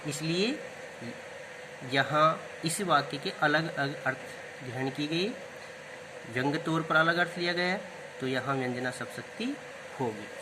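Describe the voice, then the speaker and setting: Male teacher, patient and repetitive, fairly high voice, air conditioner noise in the room. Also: unhurried, pauses often. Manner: explains step by step, repeats key terms. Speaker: Hindi literature teacher. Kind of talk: classroom-style explanation of word meanings.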